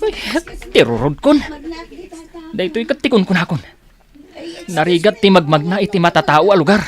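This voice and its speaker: A man's voice: high voice, expressive, normal speed. A man playing an irritable, haughty boyfriend with an Ilocano accent in a radio drama. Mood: angry, grumbling. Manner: grumbling, scolding. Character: irritable, haughty boyfriend, scornful of beggars and strangers. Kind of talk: Filipino radio drama acting.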